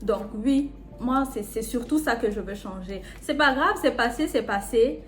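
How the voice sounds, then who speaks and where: A woman's voice: fairly high pitch, moderate pace, slight background noise. A young woman chatting casually and candidly as a video co-host, emphatic, repeating herself to insist. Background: music.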